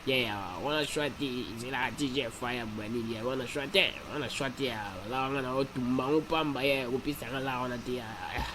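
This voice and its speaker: A man's voice: mid-range voice, fairly even intonation, moderate pace, hardly any pauses, noisy background, lightly acted. A man with a Congolese accent playing a rambling cartoon character who repeats slangy interjections. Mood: protesting. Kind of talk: animated cartoon dialogue in Lingala and French.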